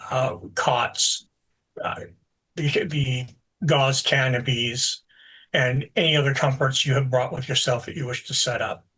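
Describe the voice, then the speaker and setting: A man running a tabletop game as game master, narrating scenes in a relaxed, explanatory way with frequent fillers. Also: mid-range voice, unhurried, slightly rough, poor audio.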